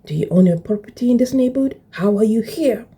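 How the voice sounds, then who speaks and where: A woman in her early forties with a mixed Zimbabwean and British accent, talking reflectively and deliberately about personal experience, repeating phrases for emphasis. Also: a little high, lively intonation, mellow, slightly rough. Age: early 40s. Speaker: video blogger. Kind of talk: personal reflective talk to camera.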